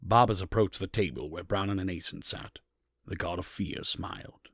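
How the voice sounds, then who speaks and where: A man narrating a dark fantasy tale as a solemn storyteller, reading from a script. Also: sits low, normal speed, no echo, studio quality.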